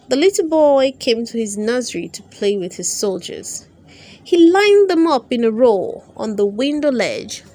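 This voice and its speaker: Woman, higher voice, animated intonation, unhurried pace, expressive children's storybook narration. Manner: expressive, dramatic emphasis. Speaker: storybook reader and channel host. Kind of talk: read-aloud children's bedtime storytelling.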